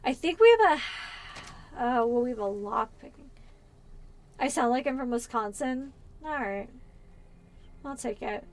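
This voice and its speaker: A woman's voice: fairly high pitch, a little slow, long pauses. A woman from Pennsylvania with a Californian accent, chatting casually and rambling as she streams a game. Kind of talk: casual gameplay chat.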